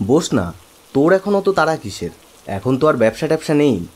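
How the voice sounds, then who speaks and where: Impatient buddy for animated drama, played by a man: husky, lively, mid-pitched, needling banter. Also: moderate pace. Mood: teasing, curious. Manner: bantering, prodding. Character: teasing, impatient male friend. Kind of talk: dramatized animated horror story. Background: rain.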